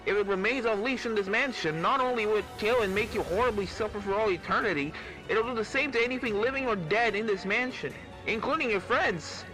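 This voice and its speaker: A man's voice: sits high, a little fast, over music, voice pushed up, lightly acted, poor audio. A man voicing a caring, learned pony professor in a fan fiction reading, earnest and given to explaining. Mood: grave warning. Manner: earnest, explanatory, stammers when flustered.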